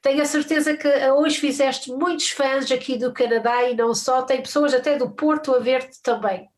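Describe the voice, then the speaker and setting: A woman's voice: high voice, fairly even intonation, normal speed. An older woman hosting a live stream from home over a video call, chatting casually, with frequent restarts and little laughs.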